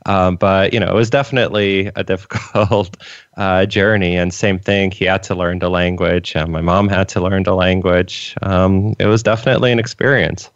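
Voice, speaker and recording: A man with a North American accent, born in Poland and raised in Canada, answering interview questions in a relaxed way. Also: low-pitched, normal speed, no room sound. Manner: relaxed, laughs now and then, fillers. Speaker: guest, freelancing coach. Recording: dry, dull lo-fi audio.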